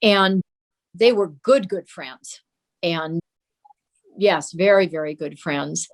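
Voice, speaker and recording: A woman's voice: fairly low pitch, slow pace. Woman guest reminiscing in an interview. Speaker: guest recalling her father.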